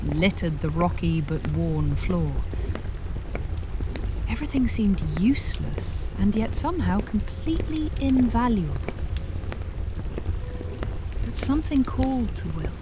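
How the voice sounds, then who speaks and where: Slow, measured woman storyteller over ambience. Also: fairly low pitch, background noise. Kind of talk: hushed scripted fantasy narration, ASMR.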